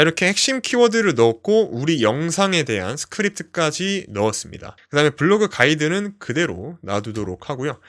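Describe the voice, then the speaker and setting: A young man hosting a screen-share tech tutorial, explaining step by step with frequent fillers. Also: mid-range voice. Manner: explanatory, lively, many fillers "어" and "뭐".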